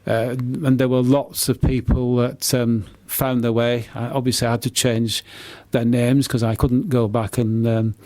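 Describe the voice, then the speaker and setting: A man's voice: mid-range voice, flat delivery, a little mellow, dry recording. Hesitant middle-aged man, dry close sound. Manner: hesitant, halting, often repeating words. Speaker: author and poet being interviewed. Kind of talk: unscripted radio interview answers.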